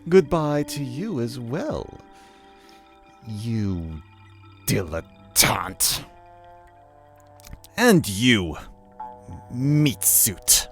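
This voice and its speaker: A gleeful, wicked spirit who puppets others in a fantasy audio drama. The phrasing is florid and mocking, drawn out slowly and swinging hugely in pitch. Music plays under the voice. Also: very animated. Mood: smug, mocking. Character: gleeful, theatrical, wicked puppet-master spirit, not technically demon.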